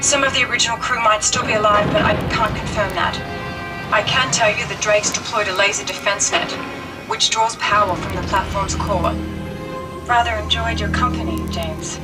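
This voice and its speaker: A woman's voice: medium pitch, fairly even intonation, normal speed, distant mic, lightly acted. A woman playing an earnest, urgent spy ally in a video game, briefing an agent over comms. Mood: concerned, briefing. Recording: loud music and engine noise behind.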